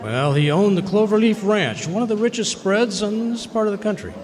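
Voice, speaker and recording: An actor playing a crooked, polite frontier lawyer in an old-time radio Western, with a mannered, swooping delivery. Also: male voice, sits high, a little fast, close to an everyday voice. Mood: polite, calculating. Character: smooth-talking, crooked male frontier lawyer. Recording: echoey hall with crowd noise.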